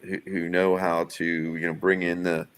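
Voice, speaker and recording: A man with a low voice speaks in a casual work meeting. He goes haltingly, catching on the first sounds of words and starting them again as he thinks through plans. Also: level tone, clear, resonant tone. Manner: hesitant, halting, repeats word starts. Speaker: live event producer planning a show. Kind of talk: casual business meeting talk.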